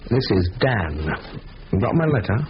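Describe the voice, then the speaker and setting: Low-voiced, businesslike crook. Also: male voice, big pitch swings, a little slow, crowd noise. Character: careful, businesslike crook organising a robbery. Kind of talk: 1970s radio drama acting. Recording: muffled old radio broadcast, faint noise.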